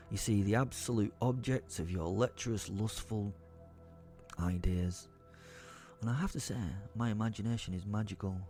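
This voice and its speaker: A man acts out a raging, comic werewolf telling his own tale in an audio drama. The voice is low and mellow, the delivery theatrical and rambling, full of swearing and repetition. Music plays faintly behind him. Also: natural voice. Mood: wry, smitten. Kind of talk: dramatised first-person storytelling. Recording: music under the voice.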